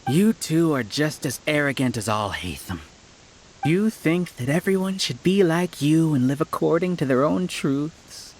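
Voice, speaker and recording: A condescending male scholar with a hive-mind scheme for a video game. His speech is smooth and lecturing, and his pitch moves a lot. He sounds coldly sure of himself. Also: lively intonation, measured pace, slight background noise, poor audio. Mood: scornful. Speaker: villain.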